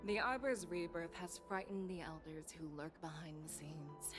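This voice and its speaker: Lady doing a weary veteran game general, deep female voice, measured and grave, music playing under it. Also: lightly acted. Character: battle-hardened female general, composed, frank, weary. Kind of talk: scripted video game voice acting.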